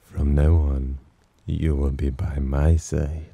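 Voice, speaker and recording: A man playing a sly, possessive demon in an ASMR roleplay, speaking in a smug, teasing, softly commanding manner with a husky voice. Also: flat delivery, slow pace, no room sound, exaggerated voice. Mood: solemn, possessive. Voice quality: very deep, dark, husky. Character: possessive, amused, sly male demon protector. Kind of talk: ASMR roleplay, slow intimate acting.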